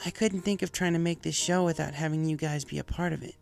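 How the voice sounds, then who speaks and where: A man's voice: on the high side, fairly even intonation, fast, vocal fry, faint music behind, pitched above the performer's own voice. A man voicing a brash, earnest young female pegasus pony in a story reading, casual and heartfelt.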